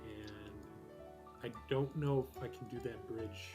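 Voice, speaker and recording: A man's voice: medium pitch, fairly even intonation, takes it slowly. A man chatting casually with friends while playing a building game, rambling with plenty of fillers. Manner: rambling, many fillers and restarts. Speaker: player on a game server. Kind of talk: casual chat while gaming. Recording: background game music clearly heard.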